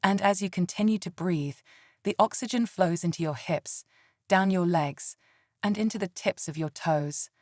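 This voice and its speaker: A woman leading a scripted guided meditation in a calm, soothing and gentle way. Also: fairly low pitch, moderate pace, no echo, studio quality.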